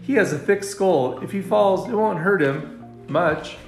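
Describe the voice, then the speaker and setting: A man's voice: sits high, measured pace, echoey room, soft background music, voice pushed up. A man voicing a bossy, taunting young grizzly gang leader from a storybook, with slangy talk. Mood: defiant, dismissive.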